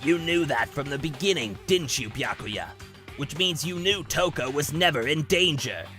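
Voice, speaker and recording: A stern, stoic student, acted by a man, speaks with a forceful edge. The delivery is terse, serious and protective, in anime game fan dub style over faint music. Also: on the high side, expressive, moderate pace. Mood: stern, steady.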